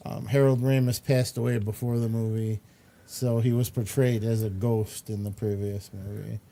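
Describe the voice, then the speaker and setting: A man's voice: medium pitch, warm tone. A man hosting a casual talk show, chatting about entertainment in a hesitant, rambling way. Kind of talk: casual chat, reviewing entertainment.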